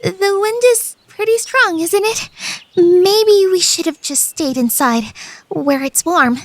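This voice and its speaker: A woman's voice: very high voice, slow, no echo, exaggerated voice. A woman voicing an earnest, naive older teenage schoolgirl in an anime fandub, musing and mild-mannered. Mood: shy. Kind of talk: anime fandub radio play.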